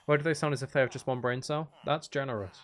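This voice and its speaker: A man's voice: mid-range voice, fairly even intonation, quick pace. A man giving casual, unscripted gameplay commentary as a video host, thinking aloud and trailing off mid-sentence.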